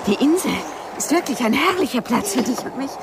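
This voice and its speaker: An actress in a German radio play playing a dreamy, unhinged woman who speaks in a slow, coaxing, wistful way. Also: high-pitched, expressive, creaky. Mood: coaxing, rapt. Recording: loud wind, water and boat noise. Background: sea wind and rowing boat sounds.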